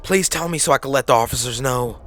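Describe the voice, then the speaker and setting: A man's voice: mid-range voice, quick pace, fairly bright tone, voice pushed up, natural voice. A man voicing an anxious but stern female emergency dispatcher, urgent and reassuring, in a horror story. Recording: faint background noise.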